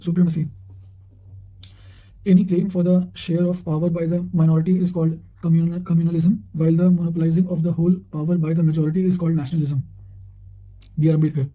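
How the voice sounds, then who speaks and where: Male reader for revision notes: flat intonation, high register, occasional self-corrections. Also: phone-quality sound. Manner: reads with stumbles and self-corrections. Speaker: narrator reading quotations for exam revision. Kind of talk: read-aloud quotations, study revision.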